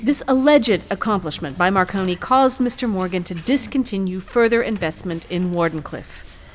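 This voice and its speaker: A woman playing a determined attorney in an audio courtroom drama, speaking formally and deliberately. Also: mid-range voice, a little hiss or hum, close to an everyday voice. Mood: formal, assured. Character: poised, determined female attorney arguing in court.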